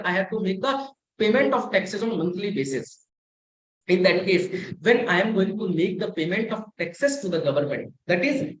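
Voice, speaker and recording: A man with an Indian English accent giving a live online lecture, explaining step by step and repeating himself. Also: high-pitched. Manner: explains step by step with many repetitions, mixing in Hindi. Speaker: webinar instructor. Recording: echoey room sound.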